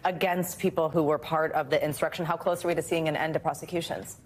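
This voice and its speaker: A woman's voice: deep voice, steady tone, fast talker, breathy edge. An American woman in her thirties anchoring the news, reading and interviewing in a broadcast style. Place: TV news studio.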